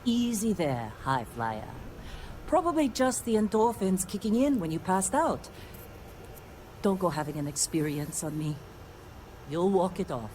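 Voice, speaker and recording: Low-voiced, blunt space-mine supervisor; commanding, dry, swings widely in pitch, faint noise behind. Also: female voice, sing-song, unhurried, warm tone, low-quality recording. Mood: dry, matter-of-fact. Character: gruff, no-nonsense female mining supervisor, weary and blunt. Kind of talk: video game voice acting.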